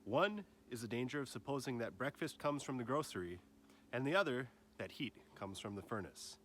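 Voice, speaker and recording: A man reading a literary nature essay aloud like an audiobook, with measured, deliberate phrasing, as a teacher would for a class. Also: medium pitch, expressive, fairly bright tone, slight background noise.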